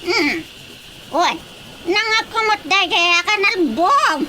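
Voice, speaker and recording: Radio drama role: chatty, lively female played by an actress, extremely high voice, noisy track. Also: slow pace, full character voice. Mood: explaining. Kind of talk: Ilocano radio drama acting.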